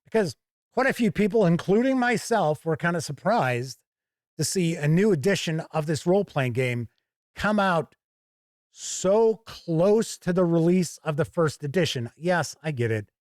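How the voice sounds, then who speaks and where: A man hosting a casual product review, talking deliberately and explaining things step by step with conversational asides. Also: fairly high pitch, lively intonation, a little mellow, no echo, clean studio sound. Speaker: reviewer and show host.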